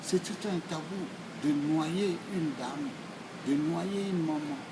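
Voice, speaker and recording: A man in his sixties with a Congolese accent, giving formal answers in a deliberate, emphatic, oratorical way, phrase by phrase. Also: a little high, noisy background. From Democratic Republic of the Congo. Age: 60s. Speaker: speaker answering questions.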